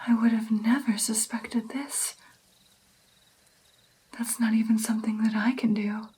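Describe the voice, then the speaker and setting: A woman doing whispered ASMR roleplay as a gentle, caring vampire mentor, with an intimate, breathy delivery. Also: on the high side, flat delivery, measured pace, poor audio.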